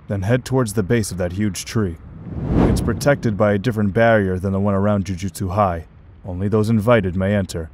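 A man playing a calm, earnest young male sorcerer in an amateur manga dub, explaining things in a measured, protective way. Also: fairly low pitch, close to an everyday voice. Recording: clear background noise and ambience.

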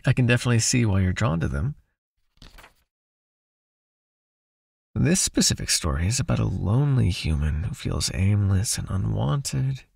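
A man voicing a possessive, soothing vampire hypnotist in an ASMR roleplay, with a hushed, coaxing manner and a raspy, creaky, breathy voice. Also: mid-range voice, no echo, clean studio sound, heavily acted. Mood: gentle, curious. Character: possessive soothing male vampire hypnotist, controlling yet caring.

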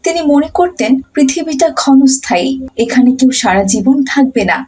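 High, mellow woman narrating a story. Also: expressive, normal speed, breathy edge. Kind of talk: scripted cartoon storytelling narration.

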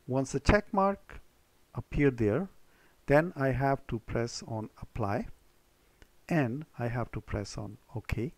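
A man with a medium-pitched voice presents a how-to computer tutorial. He goes through it step by step, restating each instruction before the next. The recording is dry, with little room sound. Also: slow, no room sound.

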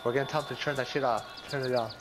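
A man chatting casually as he plays a video game, excitable and foul-mouthed, repeating himself often. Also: mid-range voice, very fast, music in the background. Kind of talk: casual gaming chat with friends.

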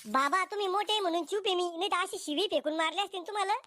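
A man's voice: very high voice, quick pace, pushed and bright, no echo, clean studio sound. A man voicing a cocky, rude teenage girlfriend in a comic cartoon, boastful and insolent, with a Vidarbha Marathi accent. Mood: cocky, threatening.

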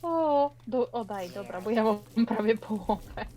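A woman chatting casually while playing a game with others, reacting out loud in short exclamations. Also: a little high, animated, measured pace. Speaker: player in a group gaming session. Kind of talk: casual gameplay chat.

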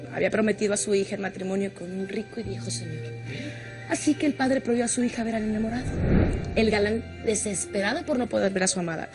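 A woman narrating an old legend as a tour guide in a telenovela. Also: mid-range voice.

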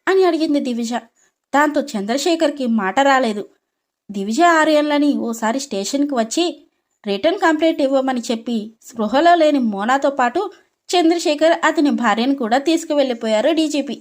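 A woman's voice: high voice, animated, fairly quick. A woman storyteller reading a scripted story narration.

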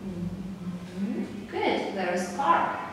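Young woman teacher, slow clear questions, bouncy voice, noisy background. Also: mid-range voice, sing-song. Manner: slow, clear prompting with short praise. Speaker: English teacher giving an oral test. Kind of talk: speaking test questions to a child. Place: small classroom, indoors. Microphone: far camera. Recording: echoey room, far from the mic, background noise.

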